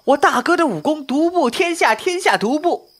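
Woman as a cheeky young man, very lively pitch. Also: moderate pace. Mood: cheeky, teasing. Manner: playful, teasing, exclamatory. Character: cheeky, boastful, mischievous young man of wuxia tale. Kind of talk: Chinese wuxia audio drama acting.

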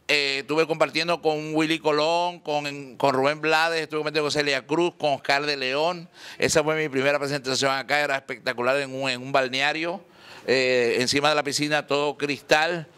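Man in his forties, boisterous, joking, slightly high voice, press conference at a restaurant table. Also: fairly even intonation, brisk, bright, forceful tone, clean tone. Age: 40s. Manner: boisterous, tells jokes, laughs often. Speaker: salsa singer at a press conference. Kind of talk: joking, effusive press talk. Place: indoor restaurant, seated at a table. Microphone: table microphones, later handheld mic.

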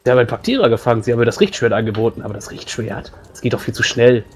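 Blunt man roleplaying casually, medium pitch. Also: very animated, racing, slight background noise. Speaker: tabletop role-player. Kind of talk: casual in-character tabletop roleplay.

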